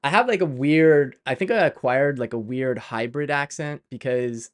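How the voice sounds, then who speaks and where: Middle-aged Canadian man, live-stream host; smooth, resonant voice, loose and chatty with frequent laughs. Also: medium pitch, moderate pace. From Canada (Montreal). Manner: chatty, laughs often, many fillers and restarts. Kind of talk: casual live-stream chat.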